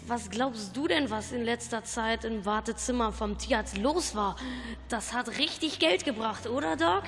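A woman's voice: fairly high pitch, moderate pace, big-room sound, close to an everyday voice. A young female actor playing a cheeky, thuggish eleven-year-old boy henchman in an amateur radio play. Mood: cocky.

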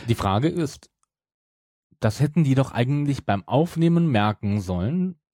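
A man's voice: mid-range voice, lively intonation, a little slow, mellow, no echo, clean studio sound. A man chatting as a podcast co-host, analytical and questioning in a casual way. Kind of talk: casual fan discussion.

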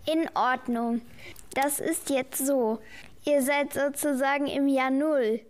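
A female performer playing a patient guardian angel in a children's audio play, explaining things in a measured way. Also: high voice, steady tone. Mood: calm, explaining.

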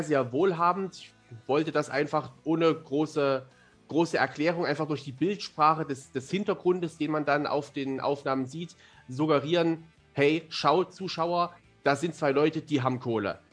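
A man with a somewhat high voice talks casually as a podcast guest. He comes across as chatty and self-deprecating, with faint music in the recording. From Germany. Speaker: interview guest, fantasy author and amateur filmmaker.

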